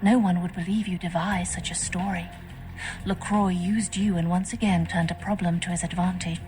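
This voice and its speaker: A woman's voice: on the low side, fairly even intonation, a little mellow, slight background noise, close to an everyday voice. Woman acting a stately, scheming vampire leader. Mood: calm, calculating. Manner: formal, measured, stately. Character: composed, scheming, regal female vampire faction leader. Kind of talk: video game dialogue acting. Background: music.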